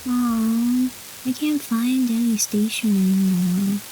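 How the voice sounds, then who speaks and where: A woman's voice: a little high, slow, dark tone, a little hiss or hum, rough recording. A woman voicing an eager, curious young schoolgirl in an audio drama. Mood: mildly whiny. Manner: eager, childlike. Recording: music under the voice. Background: music.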